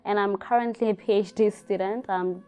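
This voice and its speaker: A woman, a doctoral student in counseling, telling her experience in an interview in a lively storytelling manner. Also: mid-range voice, fairly quick. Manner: animated storytelling, laughs between words. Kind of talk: interview testimony.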